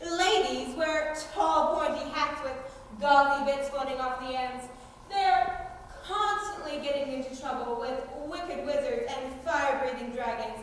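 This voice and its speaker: A young woman acting a brash, bossy female outlaw leader in a high school stage comedy, projecting theatrically and mockingly. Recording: echoey hall, distant mic, noisy.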